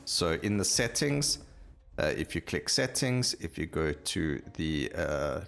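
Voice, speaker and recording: A man's voice: extremely low pitch, big pitch swings, takes it slowly, creaky, fairly bright tone. A man giving casual, unscripted commentary as he plays a video game. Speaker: gaming video host. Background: game music.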